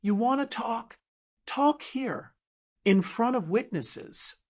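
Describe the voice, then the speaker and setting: A woman acts out a stubborn small-town woman in her sixties for an audiobook drama. She is blunt and sardonic, with old hurt under lively, swinging pitch. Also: slow pace. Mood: defiant. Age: 60s.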